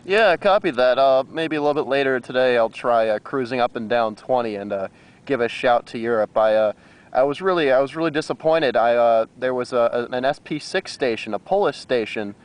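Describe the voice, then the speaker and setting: A man's voice: mid-range voice, fairly quick, clean tone. An American man chatting casually as an amateur radio operator, with a hesitant, rambling manner. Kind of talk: casual radio contact chat.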